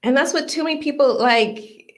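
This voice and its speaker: Lady hosting a live chat, voice a little on the high side, casual talk. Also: moderate pace. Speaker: host of a live panel. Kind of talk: casual chat, moderating audience questions.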